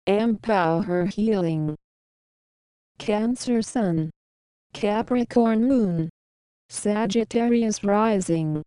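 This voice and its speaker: Slow, smooth, mellow woman co-host. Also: medium pitch, no room sound, rough recording.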